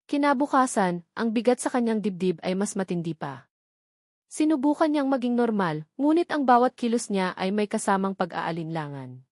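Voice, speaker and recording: A woman's voice: medium pitch, no echo. Woman narrating a drama, dry close sound. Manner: steady, measured storytelling. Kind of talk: scripted audio drama narration.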